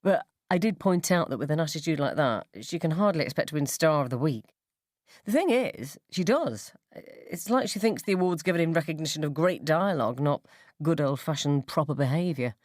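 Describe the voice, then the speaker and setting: Female reader as blunt, sardonic schoolgirl's mother for radio story, wide pitch swings, dry sound. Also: lively intonation, moderate pace, darkish tone, no echo. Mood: rueful. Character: wry, blunt, resentful mother of a rebellious daughter.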